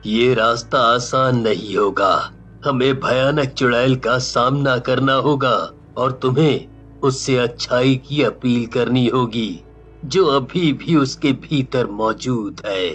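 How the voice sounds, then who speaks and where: A man voicing a weary, cursed ghost soldier in a cartoon story, with a solemn, drawn-out, pleading delivery. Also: mid-range voice, fairly bright tone, faint music behind. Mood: grave warning. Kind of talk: dramatic cartoon story dialogue.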